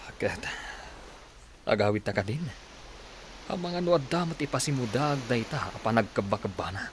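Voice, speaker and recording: A man's voice: fairly low pitch, expressive, moderate pace, a little hiss or hum, natural voice. An actor playing an impatient male schemer in a radio drama, brusque and dramatic. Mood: impatient, concerned.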